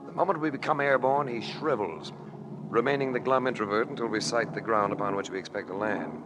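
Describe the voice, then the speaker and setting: Low-voiced wry adventurer, played by a man. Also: a little fast, music in the background, lightly acted. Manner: wry, deadpan, hard-boiled. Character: wry, cool male adventurer-investigator narrating his case. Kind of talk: 1940s radio acting, first-person narration. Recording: noisy old 1940s radio recording.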